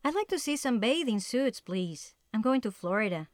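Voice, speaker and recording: Polite everyday woman played by an actress, slightly high pitch, dry recording, textbook dialogue. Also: sing-song, normal speed, dry recording. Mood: hesitant. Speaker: actor in listening test dialogues. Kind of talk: scripted language-test dialogue.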